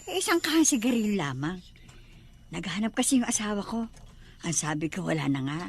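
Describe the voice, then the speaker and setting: An actress playing a nosy, grumbling Filipino shopkeeper in a radio drama, exclamatory and complaining. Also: female voice, medium pitch, very animated, fairly quick, husky. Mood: coaxing. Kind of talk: radio drama acting in Tagalog.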